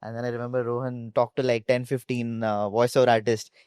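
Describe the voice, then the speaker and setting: A man's voice: mid-range voice, little pitch movement, brisk, a little mellow, clean tone, no room sound, clean studio sound. A man with an Indian accent, a co-founder telling stories casually in an interview and peppering his speech with "like". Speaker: startup co-founder being interviewed.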